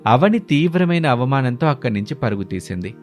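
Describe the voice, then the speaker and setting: A man's voice: medium pitch, sing-song, brisk, a little mellow, soft background music. A man narrating a scripted moral story as the storyteller.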